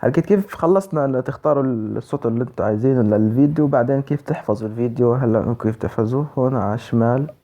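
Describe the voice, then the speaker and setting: Fast-talking Levantine man, mellow voice. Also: mid-range voice. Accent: Levantine Arabic. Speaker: tutorial presenter. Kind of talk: casual spoken app walkthrough.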